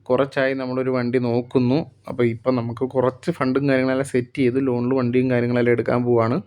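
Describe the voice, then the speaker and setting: Male vlogger from Kerala, rapid monotone, rambling casual narration full of fillers. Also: medium pitch, clean tone. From Kerala, India. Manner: rambling, heavy on fillers like "okay" and "and things". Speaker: vlogger narrating a bus-business roleplay. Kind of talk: casual first-person vlog narration.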